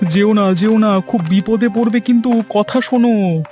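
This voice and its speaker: A man voicing an anxious, gossiping village servant and husband in a cartoon, talking in an excitable, pleading way. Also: sits high, unhurried, soft background music, voice pushed up. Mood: pleading, worried. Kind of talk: cartoon voice acting.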